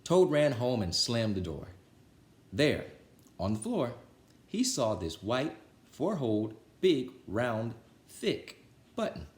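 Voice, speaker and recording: A man's voice: medium pitch, big pitch swings, takes it slowly, long pauses, echoey room. A man reading a children's storybook aloud as a storytime narrator, with some casual chat to listeners. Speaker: storytime reader.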